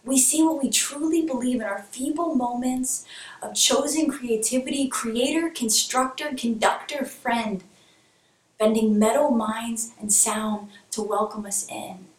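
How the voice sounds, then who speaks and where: A woman performing spoken word poetry in a rhythmic, rhyming delivery that sometimes sinks to a hush. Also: fairly high pitch, a little slow. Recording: echoey room from far off.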